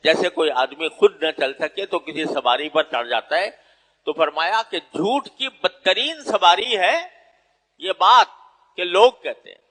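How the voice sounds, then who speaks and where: A man's voice: high-pitched, sharp tone. A man preaching an Islamic moral sermon in a didactic, emphatic way, repeating phrases for stress. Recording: long hall reverb.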